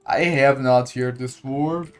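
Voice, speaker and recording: A man's voice: mid-range voice, fairly even intonation, slow, clean tone. A man commenting casually on gameplay, hesitant and repeating words as he thinks aloud. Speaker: gaming streamer.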